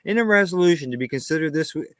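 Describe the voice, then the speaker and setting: Canadian guy co-host reading news steady, dry close sound. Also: on the high side, rapid-fire, dry recording. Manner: plain, steady reading with short agreeing asides. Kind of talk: casual news reading and chat.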